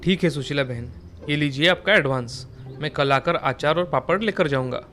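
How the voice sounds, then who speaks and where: A man's voice: fairly high pitch, normal speed, pushed and bright, slight background noise. A man playing a mild, polite village father placing an order in a storybook tale. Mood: courteous. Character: mild, courteous village customer, father of a bride. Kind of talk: storybook character dialogue.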